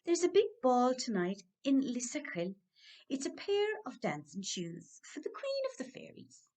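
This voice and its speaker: A woman's voice: high voice, huge pitch swings, measured pace, darkish tone, pitched above the performer's own voice, exaggerated voice. A woman voicing a tiny, crafty male leprechaun shoemaker in a chirpy storybook manner. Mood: polite, chirpy. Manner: chirpy, squealing when riled.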